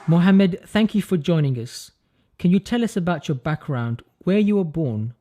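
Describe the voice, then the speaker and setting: Higher-pitched, mellow-voiced male interviewer. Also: animated, moderate pace, a little hiss or hum. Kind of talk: scripted intro and interview questions.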